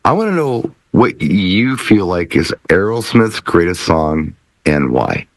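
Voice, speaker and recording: A man with a fairly low voice hosts a casual discussion in a close, dry sound. His intonation moves around a lot, and he often circles back to reword his point. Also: very animated, takes it slowly, dry recording. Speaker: talk show host, DJ. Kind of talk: casual panel chat.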